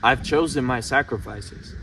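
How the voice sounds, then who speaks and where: A man's voice: medium pitch, a little slow, noisy background. Frustrated teenage guy venting, distant mic. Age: late teens. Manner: frustrated, heated and insistent, repeating himself. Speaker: vlogger. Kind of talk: emotional personal vent to camera.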